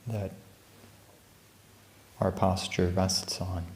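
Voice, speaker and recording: A man leading a guided meditation as a teacher, speaking calmly and gently with unhurried pauses between phrases. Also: sits low, roomy sound. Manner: calm, unhurried, gentle instruction with long pauses. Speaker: meditation teacher at a Buddhist monastery. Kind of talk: guided meditation, unscripted spoken instruction. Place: indoor room at a monastery.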